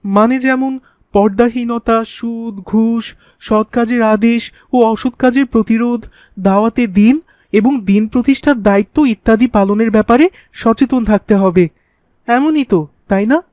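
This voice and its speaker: High, close-miked curious cartoon youngster by a woman. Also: measured pace, a little mellow, no room sound, lightly acted. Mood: curious, earnest. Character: curious, playful youngster eager to learn. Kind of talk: scripted Islamic cartoon dialogue.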